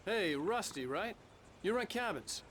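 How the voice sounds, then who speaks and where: A man's voice: high-pitched, expressive, unhurried, background noise. A man playing a tense, stubborn, desperate husband in a video game, curt and insistent. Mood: businesslike.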